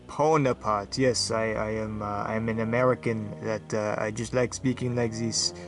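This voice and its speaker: A man voicing a shady man who poses as a Frenchman, speaking with a put-on German accent in a hushed, halting way. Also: fairly low pitch, steady tone, music in the background, lightly acted. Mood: evasive. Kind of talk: comedic brickfilm voice acting.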